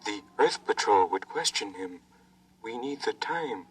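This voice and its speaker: A man playing a stern, pragmatic Martian follower in a 1950s radio drama, deliberate and firm. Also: fairly low pitch, expressive. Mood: urgent, stern. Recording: distant from the microphone, vintage radio.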